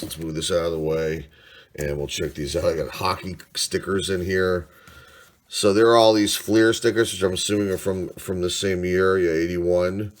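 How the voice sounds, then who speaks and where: A man in his forties with a congested, stuffy voice, rambling casually through old finds and thinking aloud with lots of fillers. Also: sits low. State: congested from a sinus cold. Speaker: sports card collector. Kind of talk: casual show-and-tell vlog.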